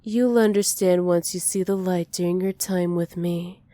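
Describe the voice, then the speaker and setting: A woman playing a dominant, possessive villain who dotes on a captive, softly menacing and teasing in an ASMR roleplay. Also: lowish voice, steady tone, normal speed, dry recording, close to an everyday voice. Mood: commanding, possessive. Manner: soft, teasing menace with breathy whispered endearments.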